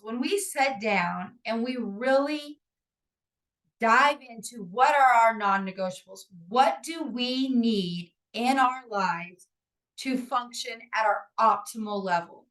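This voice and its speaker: A woman in her forties with a raspy, hoarse voice, hosting a casual podcast in an upbeat, encouraging way with frequent fillers. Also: on the high side, lively intonation, slow pace, fairly bright tone, poor audio. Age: 40s. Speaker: podcast host and author.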